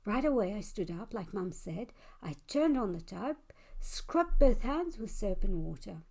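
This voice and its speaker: A woman narrates a children's picture book in rhyme. She takes her time with each line, speaking slowly and expressively as a storyteller would. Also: medium pitch, very animated, gravelly, dark tone. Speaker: storybook reader. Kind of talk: scripted children's read-aloud, rhyming narration.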